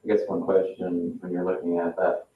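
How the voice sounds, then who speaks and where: Male, low and flat, halting explainer at a public meeting; distant, roomy pickup. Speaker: board meeting participant reviewing technical matters. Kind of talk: informal technical discussion at a public meeting. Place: echoey meeting room, picked up from afar. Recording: roomy, distant, muffled meeting audio with noise.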